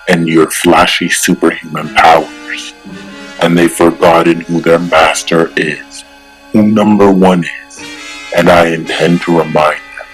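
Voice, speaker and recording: Man voicing a very deep, arrogant comic-book villain. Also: poor audio. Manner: pompous, deliberate, threatening. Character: arrogant, menacing male billionaire villain, ruthless and domineering. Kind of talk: dramatic comic-book voice acting.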